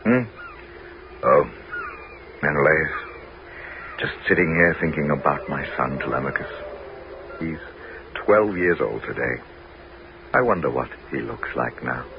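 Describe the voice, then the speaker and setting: A man's voice: very deep voice, measured pace, long pauses. An actor playing a wily, homesick Greek warrior king in a 1970s radio drama. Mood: weary, brooding. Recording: dull, noisy old radio broadcast recording.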